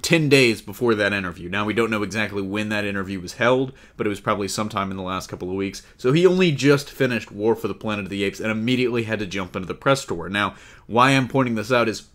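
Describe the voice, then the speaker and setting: A man's voice: lowish voice, quick pace, fairly bright tone. A man hosting a podcast in a casual, chatty style, bantering and joking with his co-hosts. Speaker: podcast host. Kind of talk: casual podcast chat about comic-book film news.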